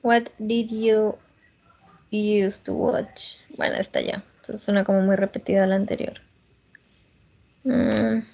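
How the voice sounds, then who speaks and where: A woman from northeastern Colombia with a Colombian accent, an English teacher on a video call who gently corrects students and mixes Spanish with English. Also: mid-range voice, flat delivery, slow, gravelly, pauses often. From Norte de Santander, Colombia. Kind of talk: online English class.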